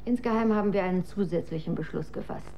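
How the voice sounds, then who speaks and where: An actress in a radio drama playing a commanding, coolly scheming empress regent with a measured, formal manner. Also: female voice, fairly low pitch, dark tone, slight background noise, close to an everyday voice. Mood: imperious. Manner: measured, imperious, formal proclamation. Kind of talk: German radio drama acting.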